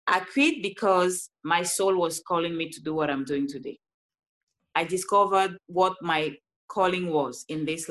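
Woman, Cameroonian accent, lowish voice, animated interview guest, laughs easily. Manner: animated, laughs often, restarts sentences. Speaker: guest, life coach. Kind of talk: casual interview storytelling.

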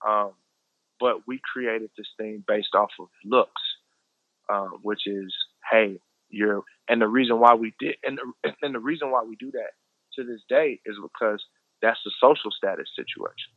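A man's voice: lowish voice, moderate pace, no echo. American man talking emphatically, dull dry recording. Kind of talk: casual conversational discussion, explaining at length.

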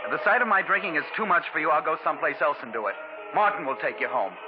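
A man's voice: fairly high pitch, lively intonation, fast talker, lightly acted. An actor playing a maudlin, drunken young man in melodramatic 1940s radio style. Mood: pleading. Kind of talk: 1940s radio drama acting. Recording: noisy, muffled 1940s radio recording.

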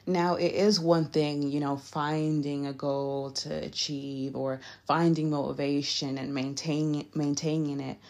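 A young woman with a Nigerian accent talks straight to her listeners in a casual, encouraging podcast style. Her voice sits low, and she speaks earnestly, sometimes stumbling and correcting herself. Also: little pitch movement, a little slow, few pauses, darkish tone. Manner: earnest and direct, occasional laughs and self-corrections. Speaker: podcast host. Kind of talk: casual motivational talk.

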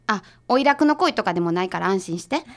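A woman playing a brisk, sharp detective's assistant in a radio drama, speaking casually and decisively with a Kansai accent. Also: mid-range voice, lively intonation, natural voice. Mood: calm, explaining.

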